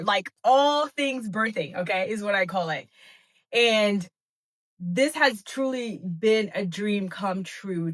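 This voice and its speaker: A woman's voice: medium pitch, a bit bright. Earnest woman from Malawi hosting. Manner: earnest, repeats phrases, asks "right?". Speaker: podcast host, doula. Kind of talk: heartfelt solo podcast talk.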